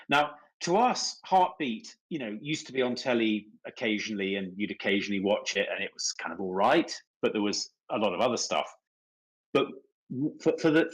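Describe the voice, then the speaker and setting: Middle-aged British man, very animated pitch. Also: poor audio. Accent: British English. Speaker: company executive on livestream. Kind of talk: casual anecdotal chat.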